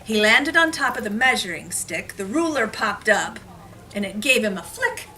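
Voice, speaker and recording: A woman teacher giving an animated, expressive children's read-aloud, pausing to explain things, recorded from a distance in a classroom. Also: mid-range voice. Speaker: elementary school teacher reading aloud. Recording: noisy classroom, distant mic.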